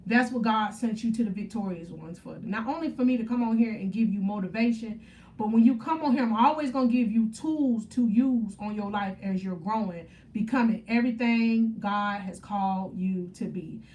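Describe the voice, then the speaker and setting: A woman's voice: medium pitch, normal speed, darkish tone. Chatty woman in her thirties, slightly distant mic. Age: 30s. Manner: upbeat, chatty, preachy, reads comments aloud. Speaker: faith motivational livestream host. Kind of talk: casual live stream chat with viewers.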